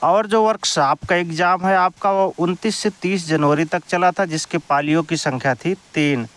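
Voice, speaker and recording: A man's voice: fairly high pitch, normal speed, fairly bright tone. A man giving an informal explainer to viewers, going step by step with repetitive phrasing. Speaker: exam news YouTuber.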